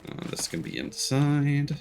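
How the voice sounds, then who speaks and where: A man's voice: fairly high pitch, very animated, a little slow, vocal fry. A man giving casual, playful let's play commentary as a game streamer. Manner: playful, jokey. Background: game music.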